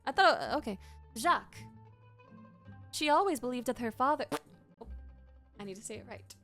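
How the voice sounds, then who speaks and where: Excitable high-voiced woman streamer. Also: moderate pace, faint music behind. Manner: excitable, giggly, chatty. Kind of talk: game reaction commentary.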